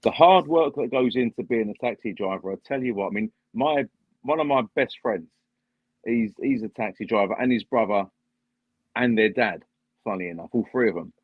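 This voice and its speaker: A husky-voiced man joins a live stream as a guest, chatty and joking as he explains things in long, rambling turns. His audio sounds dull and lo-fi, with a dry, close feel from a home computer setup. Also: fairly low pitch, normal speed, no room sound. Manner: chatty, explains at length, laughs at his own jokes. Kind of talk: casual live-stream chat.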